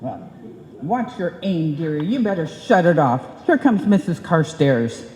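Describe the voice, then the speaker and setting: In a reverberant live hall, a woman acts a homey radio-comedy wife with a mellow voice and lively intonation. She is patient and coaxing, with a wry, gently chiding edge. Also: deep voice, measured pace, slight background noise. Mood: wry, sociable. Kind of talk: old-time radio comedy acting before a live audience. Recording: echoey live hall recording.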